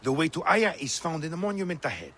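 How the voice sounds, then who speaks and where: A man's voice: on the high side, very animated, fast, a bit bright, a little hiss or hum. An actor playing a terse male guide in a video game. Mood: matter-of-fact. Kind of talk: video game drama acting.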